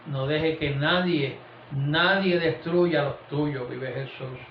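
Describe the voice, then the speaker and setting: A man's voice: fairly high pitch, steady tone, slow, slight background noise. A man preaching an informal family prayer sermon, repeating "vive Jesús" and "aleluya" between phrases and dropping his voice on them. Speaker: lay preacher. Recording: echoey, dull, lo-fi room recording.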